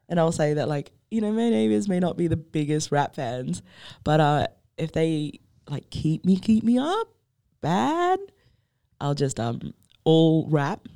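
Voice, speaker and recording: A woman's voice: deep voice, very animated, slow pace, creaky, mellow. A woman with an Australian accent, chatting casually on a podcast in a laid-back, rambling way. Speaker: podcast guest. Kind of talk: casual chat.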